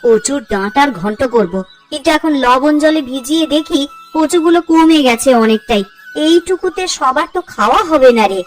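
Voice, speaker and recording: A woman's voice: high voice, expressive, normal speed, far from the mic, faint music behind. A woman playing a caring, practical village mother and housewife in a cartoon fairy tale, homely in manner. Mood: coaxing.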